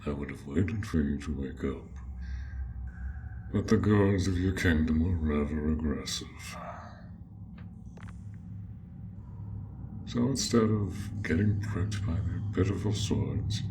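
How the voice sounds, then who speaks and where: A man's voice: rumbling low, noisy background. A man voicing a courteous, awkward male dragon, proud but smitten, with formal old-fashioned phrasing in a scripted roleplay addressed to the listener. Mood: intrigued, admiring, then dismissive.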